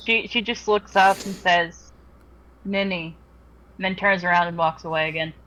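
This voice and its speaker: A woman's voice: on the low side, steady tone, normal speed, fairly bright tone, poor audio. A woman playing in a tabletop game, describing her character's actions in a dry, matter-of-fact way. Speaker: player.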